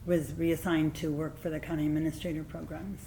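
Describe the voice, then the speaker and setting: A woman working as the commission's clerk, explaining her job in a halting way. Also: low voice, flat delivery, warm tone, slight background noise, low-quality recording. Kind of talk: public meeting discussion.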